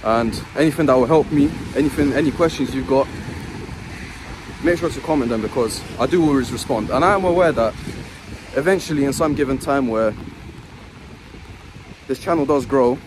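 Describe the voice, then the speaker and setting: A man's voice: medium pitch, moderate pace, pauses often, background noise. Earnest young man explaining gym training. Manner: earnest, explanatory, repeats phrases. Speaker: fitness vlogger. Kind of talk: gym vlog voice-over narration.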